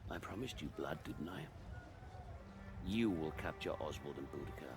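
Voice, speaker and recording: A middle-aged man playing a stern Roman army commander who speaks in a measured, authoritative way. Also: extremely low pitch, expressive, music in the background. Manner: measured, authoritative, sharp rebukes. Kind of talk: video game cutscene acting. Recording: noisy background.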